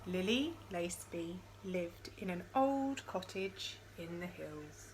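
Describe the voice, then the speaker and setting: A woman reading a picture book aloud to young children in an expressive storytelling style, with chatty questions to the listeners. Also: on the low side, slow pace, noisy background. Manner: addresses young listeners with questions and dramatised sound words.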